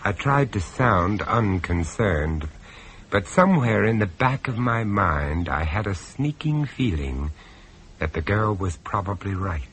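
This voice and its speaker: An actor playing a grave, observant gentleman who narrates a horror tale in a radio play, in a dramatic storytelling style. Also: lowish voice, sing-song, unhurried. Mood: uneasy, brooding. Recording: noisy old radio drama recording.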